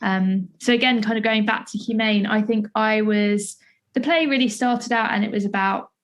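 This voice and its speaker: A woman's voice: mid-range voice, steady tone, moderate pace. Young British woman interviewing, thinking aloud. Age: young adult. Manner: tentative, discursive, thinking aloud. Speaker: podcast interviewer and playwright. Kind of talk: conversational interview.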